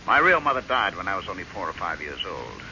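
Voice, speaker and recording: Forceful, gravelly, bitter husband. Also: male voice, low voice, sing-song, quick pace, heavily acted. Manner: sardonic, insistent, brooding. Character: bitter, resentful husband, an estranged stepson. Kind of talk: radio drama acting. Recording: muffled old radio recording, faint noise.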